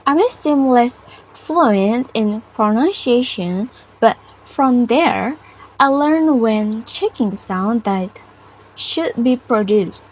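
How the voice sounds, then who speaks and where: A young Indonesian woman speaks in a fairly high voice at a very slow pace. She is a student presenting a prepared reflection, picking through non-native English with care and halting phrasing. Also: expressive, rough recording. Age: young adult. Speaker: university student. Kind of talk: prepared personal reflection to camera.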